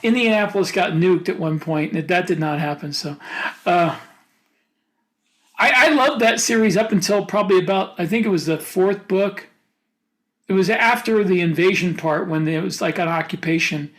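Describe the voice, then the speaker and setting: A man with a notably high voice talks casually as a podcast co-host. He gives firm opinions on what he has read. His phrases often halt and restart as he works out the thought. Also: fairly bright tone. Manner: opinionated, halting mid-thought. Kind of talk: casual book discussion.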